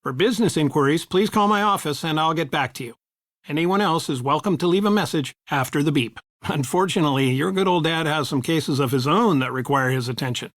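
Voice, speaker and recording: A man's voice: fairly high pitch, a little fast, fairly bright tone, no room sound, clean studio sound, natural voice. A man playing an affectionate, reassuring middle-aged father in a video game, with polished, supportive delivery. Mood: polite, apologetic. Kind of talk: scripted video game voice acting.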